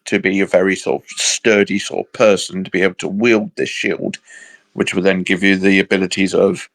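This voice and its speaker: A man's voice: low-pitched, level tone, normal speed, a bit bright. A British man chatting casually with a co-host, rambling and hesitant, laughing often. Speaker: podcast co-host. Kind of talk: casual chat.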